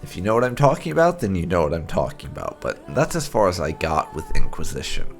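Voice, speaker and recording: A man hosting a gaming let's play, talking casually in deliberate, halting phrases. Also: low-pitched, wildly swooping pitch, gravelly, soft background music. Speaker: host of a gaming channel.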